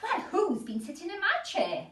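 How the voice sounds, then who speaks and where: A woman's voice: extremely high pitch, wildly swooping pitch, normal speed, fairly bright tone, slight background noise, pitched above the performer's own voice, exaggerated voice. A woman voicing a put-out mother bear who asks indignant questions in a raised voice. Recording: echoey room, distant mic.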